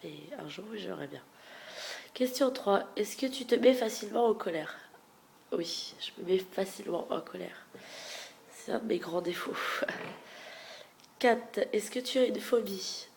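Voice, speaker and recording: A young woman with a stuffy head cold and a nasal, congested voice, chatting frankly through a question-and-answer video and often trailing off into soft murmurs. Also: medium pitch, a little slow, gravelly, pauses often. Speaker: beauty vlogger. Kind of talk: casual Q&A tag video.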